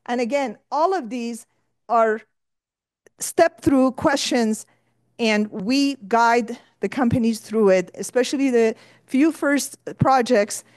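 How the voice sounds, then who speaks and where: A woman's voice: fairly high pitch, darkish tone, no room sound. A woman presenting at a conference, explaining a step-by-step process with the odd filler. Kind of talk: conference talk with slides.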